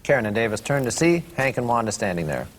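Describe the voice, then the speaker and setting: A man's voice: medium pitch, big pitch swings, fast. A man reads scripted stage directions as narrator at a table read. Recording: reverberant room, faint background noise.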